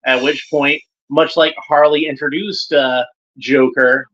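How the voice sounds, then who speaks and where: A man's voice: on the high side, steady tone, unhurried, fairly bright tone, lo-fi sound. A man giving casual running commentary while watching an episode, chatty and fond, pointing out details as they come up.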